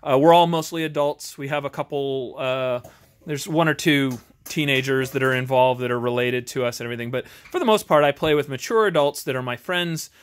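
Middle aged american guy casually rambling through a tabletop game review, kinda self-deprecating, average pitch. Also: fairly quick, clean tone. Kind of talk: unscripted casual review chat. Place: home game garage.

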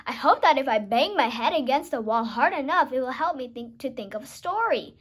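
Young female reader as a high, earnest toad. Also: animated, brisk, breathy edge. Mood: hopeful, straining. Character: earnest, fretful male toad, storybook friend.

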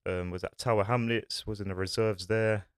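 A man's voice: lowish voice, steady tone, normal speed, clean tone, dry recording. A young man, a podcast guest, chatting casually and loosely about his past in a small studio, on a desk mic. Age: young adult. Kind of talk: casual chat. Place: small studio with acoustic foam panels. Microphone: desk-mounted podcast mic.

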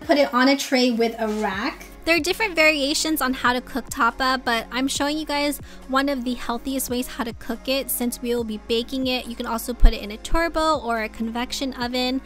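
High-voiced upbeat young woman vlogger. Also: fairly quick, few pauses, fairly bright tone, faint music behind. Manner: upbeat, instructive, addresses viewers as you guys. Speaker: cooking vlogger. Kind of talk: cooking tutorial narration. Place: home kitchen, indoors.

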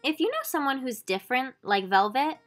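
A woman reading a children's picture book aloud expressively, drawing out key words with deliberate emphasis. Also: high voice, sing-song, fairly bright tone, soft background music. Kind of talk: expressive storybook read-aloud.

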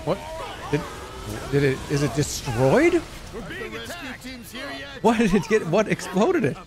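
A man reacting quickly and laughing during a casual gaming chat with friends. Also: fairly high pitch, wildly swooping pitch. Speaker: streamer guest. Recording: game music behind voice.

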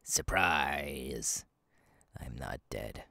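Super slow creepy masked killer for asmr, taunting, gravelly half whisper, crazy low. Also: male voice, expressive, sharp tone, no room sound, clean studio sound, full character voice. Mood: taunting, gloating. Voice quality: very deep, gravelly, partly whispered. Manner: slow, deliberate, taunting, drawn-out pauses. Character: menacing, taunting masked male slasher killer, playfully obsessed. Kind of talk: ASMR audio roleplay. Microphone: close microphone.

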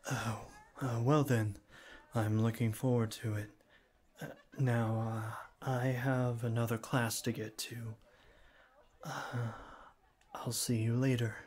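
A man playing a shy, earnest young college student with a cool front, halting and formal, in a scripted ASMR roleplay. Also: mid-range voice, level tone, a little mellow, slightly rough. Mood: nervous, formal. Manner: halting, stammering, formal wordy phrasing.

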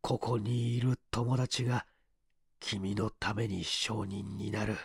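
A man voicing a weak, gentle dying man with a hoarse, breathy voice in a story reading. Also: fairly low pitch, fairly even intonation, no echo, studio quality, voice pushed down, exaggerated voice. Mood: forgiving, faint. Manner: gasping, halting, faint.

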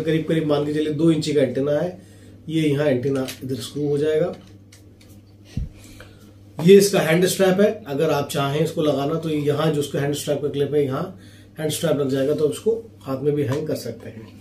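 A man with a fairly high, mellow voice walks through a product in a casual, explanatory way. He mixes in English phrases. The small office sounds echoey. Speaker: product reviewer and seller. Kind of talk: casual unboxing and product demonstration. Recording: roomy echo, faint background noise.

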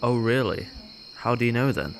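A man's voice: medium pitch, expressive, moderate pace, vocal fry, slight background noise, poor audio. A young British man playing a shy, anxious, cautious college student with a hesitant manner in an audio drama. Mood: wary. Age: young adult. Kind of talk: naturalistic audio drama acting.

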